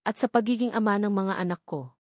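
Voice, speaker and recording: A woman's voice: mid-range voice, a little fast, dry recording, studio quality, natural voice. A woman voicing a loving, grateful young mother in a romance storybook, earnest and tender.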